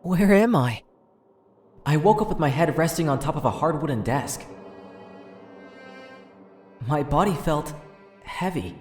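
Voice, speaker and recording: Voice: mid-range voice, lively intonation, a little slow, pauses often, a little mellow, close to an everyday voice. A performer voicing an earnest teenage schoolboy, narrating his own story dramatically as an inner monologue in an amateur game dub. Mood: confused, groggy. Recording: roomy echo, music underneath.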